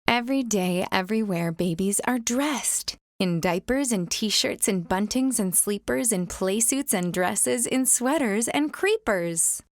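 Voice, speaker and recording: A young adult woman reading a rhyming children's picture book aloud in a gentle, sing-song storytime manner. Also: medium pitch, lively intonation, measured pace, hardly any pauses, dry recording, clean studio sound.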